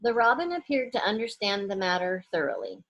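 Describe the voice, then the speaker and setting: A woman's voice: mid-range voice, big pitch swings, fairly quick, fairly bright tone, dull audio. A woman reading a children's story aloud as a narrator. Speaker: storybook reader.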